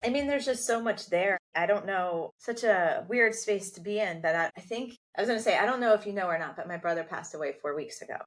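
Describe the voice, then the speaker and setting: A woman's voice: mid-range voice, lively intonation, a little fast, hardly any pauses. A woman hosting a podcast interview, chatting earnestly with many casual fillers. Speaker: podcast host.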